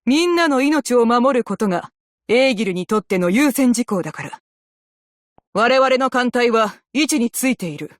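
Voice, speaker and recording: A woman playing a composed, dutiful female naval officer in a game, speaking with measured, formal military politeness. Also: fairly high pitch, lively intonation, no room sound, studio quality, close to an everyday voice. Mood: firm, commanding. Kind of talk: scripted game character voice lines in Japanese.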